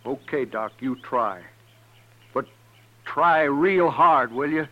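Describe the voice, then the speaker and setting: A man's voice: medium pitch, slow pace, close to an everyday voice. A man playing a hot-tempered, blunt American cowboy in 1950s western radio drama. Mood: grudging. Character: gruff, hot-tempered cowboy devoted to his horse. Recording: dull vintage radio recording.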